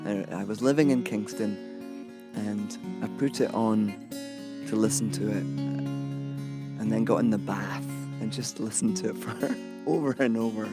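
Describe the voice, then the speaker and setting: A slightly hoarse man, a singer and minister, talking reflectively between songs to a live audience with many fillers and restarts. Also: lowish voice, normal speed. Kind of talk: relaxed talk between songs at a concert. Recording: guitar playing loudly behind voice. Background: guitar.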